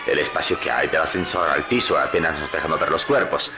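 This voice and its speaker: A commanding adventure hero from an old radio serial, very low and unhurried. He speaks with steady calm. Loud music plays under him. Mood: grave. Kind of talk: vintage radio drama acting.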